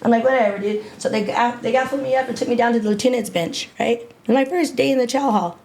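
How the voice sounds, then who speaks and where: A woman in her fifties telling a gritty personal story in a casual interview, animated, repeating herself and swearing freely. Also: on the high side, fast. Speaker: interview guest.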